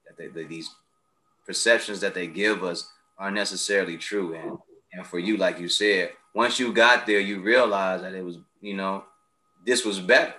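A man's voice: sits low, moderate pace. A man in casual conversation, telling stories animatedly and acting out the people he quotes. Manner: animated storyteller, acts out quoted friends. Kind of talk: casual chat, storytelling. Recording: echoey room.

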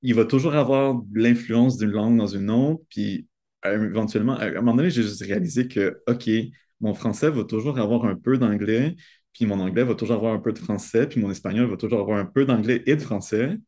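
Low mellow man, reflective. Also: brisk. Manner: thoughtful, reflective, laughs between thoughts. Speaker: guest, doctoral student. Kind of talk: casual conversation.

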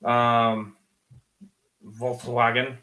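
A man's voice: medium pitch, monotone, very slow, sharp tone. A man co-hosting a sports podcast in casual discussion, explaining things chattily with plenty of slang.

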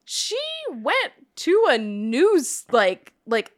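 A woman's voice: a little high, big pitch swings, drawn-out words. An American woman from the South chatting casually and informally as a podcast guest. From Atlanta, Georgia, US South. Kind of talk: casual chat.

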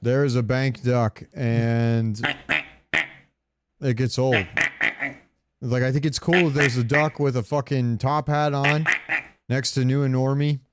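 A man's voice: mid-range voice, fairly even intonation, unhurried, pauses often, a little hiss or hum. A man co-hosting a podcast, chatting casually about video games in a rambling way with some swearing. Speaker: podcast co-host.

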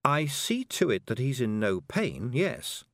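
A man voicing a middle-aged woman witch who speaks slowly, calmly and patiently. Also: mid-range voice, no room sound, studio quality, voice pushed up. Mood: measured. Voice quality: slow, measured, patient.

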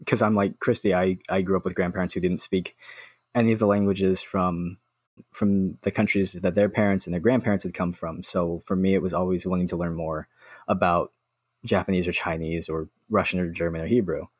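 A male podcast co-host with a very low, husky voice. He muses and then asks long, thoughtful questions. His sound is dry and close. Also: fairly even intonation, a little fast, no room sound. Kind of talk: conversational interviewing.

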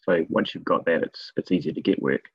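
A man with a New Zealand accent, a guest telling stories about his work in a relaxed, rambling way with many fillers. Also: low-pitched, a little fast, slightly rough, no room sound, lo-fi sound. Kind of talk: casual interview talk.

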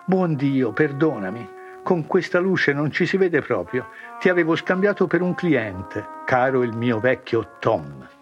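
A man voicing a cheerful, confused older ex-grocer who talks with brisk shopkeeper banter. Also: medium pitch, sing-song, muffled recording, faint music behind, voice pushed up. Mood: jovial.

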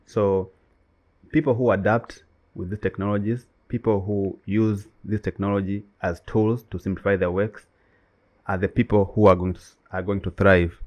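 A man's voice: lowish voice, steady tone, moderate pace, lo-fi sound. A man whose first language is Swahili, co-hosting a casual chat and talking emphatically with many "you know"s. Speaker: co-host.

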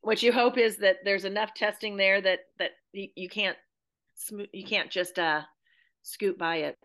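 Woman, American accent, medium pitch, bright tone, emphatic, conversational guest. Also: steady tone, measured pace. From United States. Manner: emphatic, repeats phrases for effect. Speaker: podcast guest, playwright and theatre educator. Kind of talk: interview chat.

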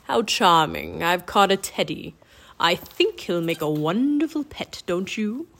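A woman voicing a menacing half-bird, half-human king with a raspy croak and a mockingly polite manner. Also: fairly low pitch, very animated, a little slow, a bit bright, heavily acted.

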